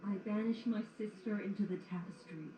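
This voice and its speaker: A woman's voice: mid-range voice, a little fast, dark tone, faint music behind, natural voice. A woman playing a regal, sorrowful and bitter pony ruler in a fan audio drama, with grave, archaic formality. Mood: grieving, bitter. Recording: echoey, faint noise.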